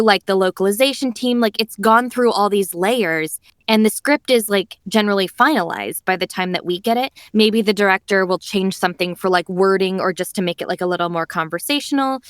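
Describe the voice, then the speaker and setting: A young adult woman talks as a guest on a podcast in a loose, chatty way, filling her sentences with "like" and "you know". Her voice sits at a middle pitch. The recording is close and dry, with no room sound. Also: moderate pace, smooth, no room sound. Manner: chatty, animated, many "like" and "you know" fillers. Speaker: voice actress guest. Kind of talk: casual podcast interview.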